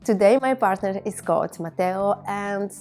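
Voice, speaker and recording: Creaky-voiced woman in her thirties from Bulgaria. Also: mid-range voice, normal speed, darkish tone, soft background music. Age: 30s. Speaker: video blogger. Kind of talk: reflective personal monologue to viewers.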